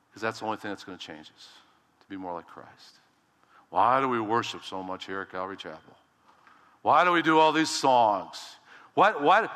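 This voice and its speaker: Male pastor, loud emphatic preacher, bright forceful tone, echoing sanctuary. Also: mid-range voice. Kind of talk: sermon. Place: church sanctuary.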